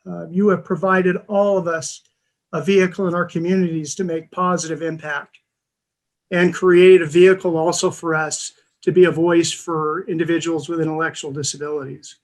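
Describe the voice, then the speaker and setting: Halting, earnest man with a higher voice. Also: flat delivery. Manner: halting, earnest, frequent pauses. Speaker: award recipient. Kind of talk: unscripted acceptance speech. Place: video call from an indoor room. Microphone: video call microphone.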